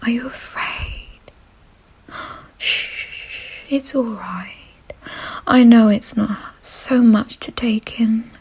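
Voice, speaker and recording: A woman voicing a gentle, refined, doting vampire mistress in a soothing, softly possessive ASMR roleplay whisper. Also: on the high side, very slow, long pauses, full character voice. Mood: tender comforting. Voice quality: breathy, husky whisper.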